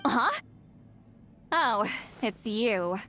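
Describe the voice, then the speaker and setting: High-voiced, haughty, grumpy ancient shaman. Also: animated, very slow, pushed and bright, full character voice. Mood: curt, dismissive. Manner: brusque, haughty, scoffing. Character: grumpy, proud centuries-old shaman woman, feared and aloof. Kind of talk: video game voice acting. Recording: background music under the voice.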